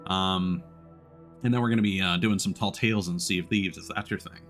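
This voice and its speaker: A man's voice: deep voice, fairly even intonation, a little fast, soft background music. A man chatting casually as he livestreams a game, drifting between his own thoughts and greetings to viewers. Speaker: livestreamer, VTuber. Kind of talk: casual livestream chat over gameplay.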